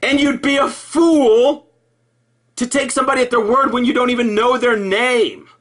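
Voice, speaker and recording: A man delivering an improvised sarcastic rant in an exasperated, mocking way, halting and repeating himself, with profanity. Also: extremely high pitch, fairly even intonation, a bit bright. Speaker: video commentator.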